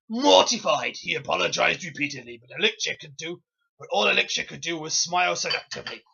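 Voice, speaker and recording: A narrator with a creaky, raspy voice giving a comic, theatrical dramatic reading of fan fiction. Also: mid-range voice, pushed and bright, dull audio.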